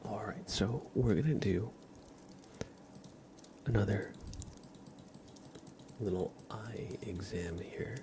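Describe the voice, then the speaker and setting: A man hosting an ASMR livestream in a breathy whisper, speaking gently with playful mock-seriousness as he acts out little roleplays. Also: lowish voice, takes it slowly, pauses often, background noise. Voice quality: breathy whisper, mostly unvoiced.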